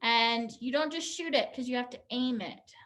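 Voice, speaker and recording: Woman teacher, high voice, bright tone, encouraging, online class. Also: moderate pace. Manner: upbeat, encouraging, explains step by step. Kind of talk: leading a pantomime activity online.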